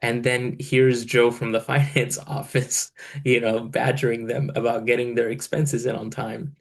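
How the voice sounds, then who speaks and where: A man's voice: medium pitch, measured pace, gravelly. A man from Egypt, in his thirties, a church financial controller and part-time pastor, answering interview questions casually with many fillers. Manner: relaxed, many fillers, laughs between phrases.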